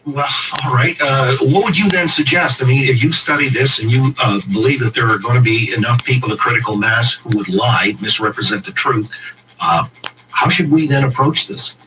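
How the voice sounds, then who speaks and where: A man hosting a radio interview, asking formal, wordy questions in a measured way. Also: medium pitch. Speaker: radio talk show host. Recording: distant, muffled, noisy radio playback.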